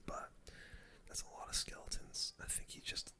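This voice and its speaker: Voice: normal speed, bright, forceful tone. A young adult whispers casual, slangy commentary over their own gameplay in an ASMR style. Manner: casual slangy gamer talk, full whisper.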